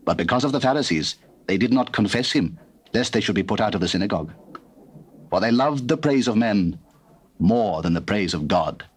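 A man's voice: fairly low pitch, animated, normal speed, breathy edge. A man narrating dramatized scripture in a formal, theatrical storytelling manner. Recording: noisy old cassette recording.

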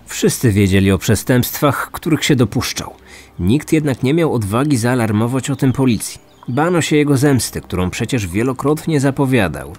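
A man's voice: medium pitch, expressive, normal speed, a little mellow, breathy edge. A man narrating a scripted true-crime story as a podcast host.

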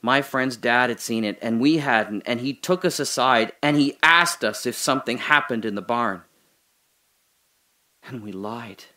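An actor playing a guilt-ridden trapped man in an audio drama monologue, halting and intense, confessing and pleading. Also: medium pitch. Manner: halting, intense, swinging from hushed confession to anguished cries.

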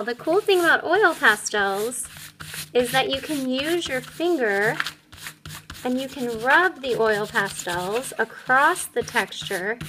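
A woman's voice: fairly high pitch, pushed and bright, a little hiss or hum, poor audio. A woman, an elementary art teacher, demonstrating a craft step by step in a simple, upbeat way for young children.

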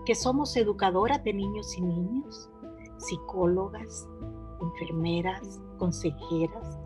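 Woman in her sixties, steady webcam read over music. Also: mid-range voice, measured pace. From Honduras. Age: 60s. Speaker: older rights advocate. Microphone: video call webcam.

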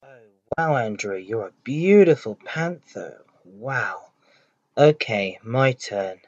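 A man performing an amateur audio drama with a slow, plain, unpolished delivery. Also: medium pitch, animated, darkish tone. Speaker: amateur voice actor. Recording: dull lo-fi home recording with clear hiss.

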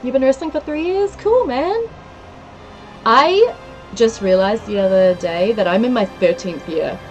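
A woman's voice: a little high, animated, unhurried, muffled recording. A woman in her thirties with a New Zealand accent, talking casually into a headset mic in a small room. She is chatty and giggly and reels off place names from her viewers, with faint game music underneath. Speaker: livestreamer. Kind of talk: casual game-stream chat, reading out viewer comments.

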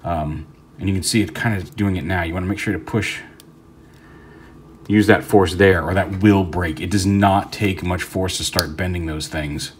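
A man's voice: sits low, steady tone, normal speed, slight background noise. A man talking casually through a hands-on toy review, rambling with fillers and restarts, recorded indoors at a tabletop.

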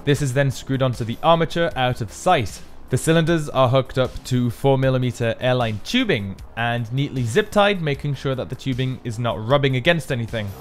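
Male maker, mid-pitched, scripted explainer narration for a build video, music underneath. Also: expressive, normal speed, hardly any pauses.

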